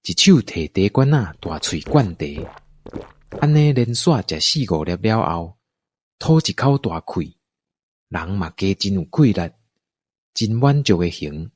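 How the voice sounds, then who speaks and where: A man's voice: low-pitched, sing-song, unhurried, no room sound. A man with a Taiwanese accent narrating a scripted radio drama as a storyteller.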